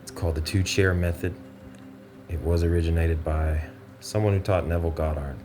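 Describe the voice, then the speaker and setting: A man with an extremely low voice guides a meditation in measured, instructional phrases. His intonation stays fairly flat, with pauses between short phrases. Music plays clearly behind him.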